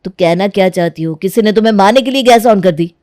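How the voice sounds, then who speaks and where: A woman's voice: mid-range voice, fast talker, warm tone, no room sound, clean studio sound, natural voice. A woman as a stern, reproachful young husband. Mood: irritated. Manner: reproachful, firm. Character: stern, disillusioned young husband and protective son. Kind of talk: Hindi animated moral-story dramatization.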